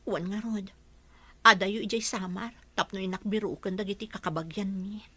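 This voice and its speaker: A woman's voice: mid-range voice, steady tone, normal speed, bright, forceful tone, husky, no echo. An actress playing a humble, worried mother devoted to her child in a radio drama, with an Ilocano Filipino accent.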